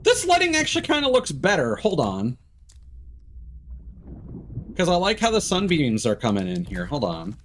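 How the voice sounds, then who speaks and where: A man's voice: fairly high pitch, wildly swooping pitch, moderate pace, long pauses, a little hiss or hum. A man giving casual live game stream commentary, rambling and thinking aloud into a streaming microphone.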